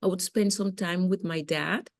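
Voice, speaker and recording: Low-voiced thoughtful diplomat woman, dry sound. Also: fairly even intonation, normal speed, dry recording. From Costa Rica. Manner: thoughtful, with small repetitions as she speaks. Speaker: guest diplomat. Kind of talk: conversational interview answers.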